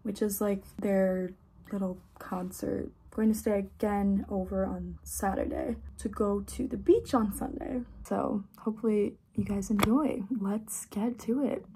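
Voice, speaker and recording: A woman's voice: medium pitch, steady tone, unhurried, warm tone, a little hiss or hum, low-quality recording. Giggly young woman vlogging at an easy pace. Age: young adult. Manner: giggly, chatty, easygoing. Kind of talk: casual vlog narration. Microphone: phone held at arm's length.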